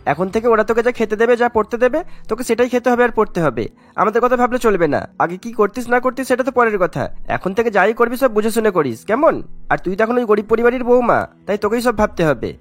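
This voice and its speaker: A man voicing a firm, matter-of-fact young family man in a cartoon, explaining things briskly. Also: sits high, expressive, dry recording, soft background music. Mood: firm, lecturing. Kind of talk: Bengali cartoon voice acting.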